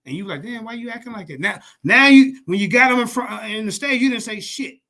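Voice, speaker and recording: A man hosting a heated casual chat, loud, profane and confrontational. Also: very high-pitched, expressive, fast.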